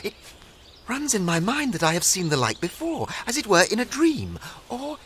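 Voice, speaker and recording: A man's voice: high-pitched, huge pitch swings, normal speed, a bit bright, slight background noise, heavily acted. An actor playing an earnest, dreamy young king in a fantasy audio drama, with dramatic, archaic, courtly speech. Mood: wondering.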